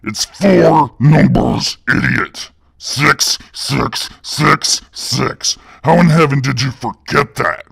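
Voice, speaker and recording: Gravelly, roaring demon boss. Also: male voice, mid-range voice, dry recording, studio quality, voice pushed up, exaggerated voice. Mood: enraged. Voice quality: gravelly, raspy growl. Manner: shouting, berating with drawn-out insults. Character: monstrous demon boss, furious, bullying, contemptuous.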